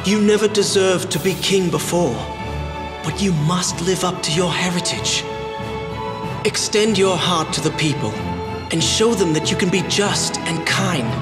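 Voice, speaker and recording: An actor playing an earnest young prince turned into a rabbit creature, speaking resolutely and tenderly. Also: male voice, high-pitched, big-room sound. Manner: earnest, resolute, gently pleading.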